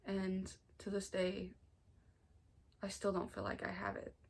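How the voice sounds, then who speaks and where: A young American woman of about nineteen, talking informally and earnestly in a halting way, as in a personal storytime vlog. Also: mid-range voice, completely flat delivery, creaky, slightly rough. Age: 19, nearly 20. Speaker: family vlogger. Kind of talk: personal storytime vlog, casual confessional talk.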